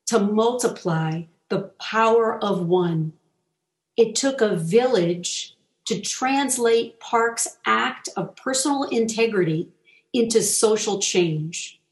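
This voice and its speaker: A woman reads a reflective passage aloud, slowly and deliberately, taking her time over each phrase. Her voice carries in an echoey room. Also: mid-range voice. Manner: slow, deliberate reading. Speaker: reader.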